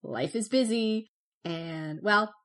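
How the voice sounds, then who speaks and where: A woman co-hosting a podcast, telling stories in an animated, chatty way. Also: mid-range voice, takes it slowly. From Canada. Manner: chatty, animated storytelling with laughs. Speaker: podcast co-host. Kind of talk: casual chat.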